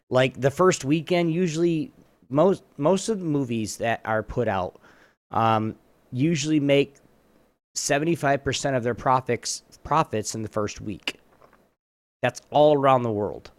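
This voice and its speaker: A man's voice: mid-range voice, dry recording. A man casually hosting a trivia round on a live show, rambling and often rephrasing himself.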